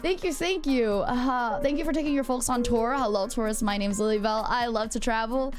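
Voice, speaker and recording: A woman's voice: fairly high pitch, a little fast, music in the background. A woman streaming live, chatting casually and playfully, swearing freely as she talks to her viewers. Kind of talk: casual livestream chat.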